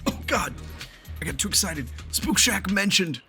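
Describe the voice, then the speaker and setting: A man giving casual, animated gameplay commentary as a streamer, swearing now and then. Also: high voice, moderate pace, gravelly, faint music behind. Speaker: gaming streamer reacting to a story quest. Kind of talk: casual gameplay reaction commentary, sometimes reading dialogue aloud.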